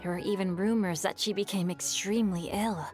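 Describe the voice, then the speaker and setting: A woman's voice: medium pitch, fairly even intonation, fairly quick, natural voice. A woman voicing a polite, bookish young man in a video game, speaking in formal, thoughtful, storytelling phrases. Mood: measured, curious. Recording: game dialogue over background music. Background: game music.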